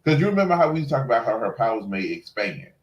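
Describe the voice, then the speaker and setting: A man's voice: medium pitch, very animated, quick pace, muffled recording. A man co-hosting a casual review chat, talking in an emphatic, sassy, salty-tongued way. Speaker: review show co-host.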